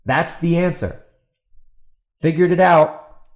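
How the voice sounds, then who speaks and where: A man's voice: a little high, slow pace. A man hosting a podcast, ranting off the cuff in an exasperated, sarcastic way with emphatic repetition and rhetorical questions. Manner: rhetorical questions put to an absent opponent. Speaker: podcast host and author. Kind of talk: ranting opinion monologue, off the cuff.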